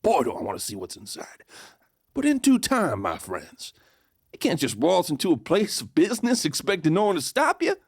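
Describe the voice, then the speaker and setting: Cocky Wild West outlaw with lively swings. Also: medium pitch, normal speed, slightly rough, no room sound, studio quality, exaggerated voice. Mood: sly narrating. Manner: showy, folksy, playful swagger. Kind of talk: theatrical first-person storytelling, audio drama.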